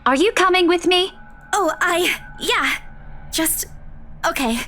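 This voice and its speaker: A man's voice: very high-pitched, takes it slowly, sharp tone, voice pushed up, full character voice. A man voicing an anxious, scatterbrained young woman from a visual novel as he reads it aloud. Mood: flustered. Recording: game music behind the voice.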